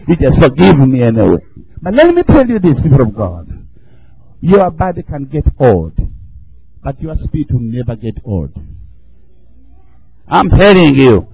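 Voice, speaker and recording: A middle-aged man from Africa with an East African accent, preaching a fervent sermon in a church, often shouting and chuckling. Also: on the high side, huge pitch swings, unhurried, vocal fry, pauses often, breathy edge, no room sound, low-quality recording. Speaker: visiting preacher.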